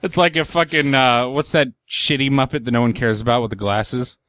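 A man's voice: mid-range voice, fairly even intonation, a little fast, fairly bright tone, no echo, studio quality. A man chatting casually about music, joking, swearing and mocking singers. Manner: jokey, swears, mocks singers.

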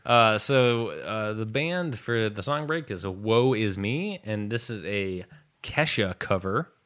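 A man's voice: fairly low pitch, animated, slow, a bit bright. A man co-hosting a casual podcast, blunt and profane, laughing often. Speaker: podcast co-host.

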